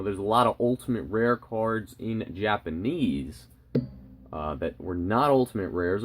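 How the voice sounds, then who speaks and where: A man with a fairly low voice speaks as the host of a collecting channel. He gives his opinions casually and straight to the viewer. He leans on key words and repeats phrases to press them home.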